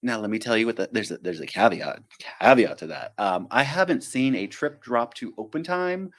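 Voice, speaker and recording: A man's voice: medium pitch, expressive, normal speed, fairly bright tone. A man, a flight attendant hosting a casual live-stream Q&A, chatty and self-deprecating, drifting into tangents and acting out little quotes.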